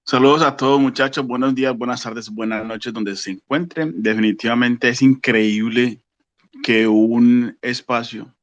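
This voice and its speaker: A man in his forties from Colombia, a podcast guest who speaks slowly and thoughtfully. He pauses often and fills the gaps with fillers. The recording is dry and dull, with a lo-fi edge. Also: mid-range voice, fairly even intonation, no echo. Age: 40s. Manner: slow, thoughtful, pausing with fillers. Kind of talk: casual podcast chat.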